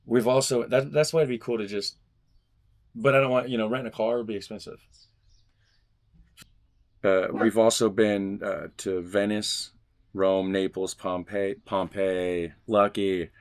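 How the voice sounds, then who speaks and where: A man's voice: fairly low pitch, steady tone, measured pace, pauses often. A man vlogging at home, reading a fan letter aloud and joking casually between passages. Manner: reads stiffly, then jokes loosely between passages. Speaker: vlogger unboxing fan mail.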